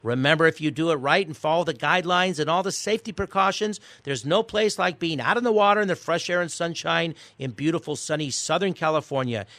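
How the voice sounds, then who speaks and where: A man hosting a weekend radio talk show, chatting with guests in a halting way with many restarts, then reading the sign-off. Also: sits high, fairly bright tone, no echo. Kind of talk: casual radio interview and sign-off.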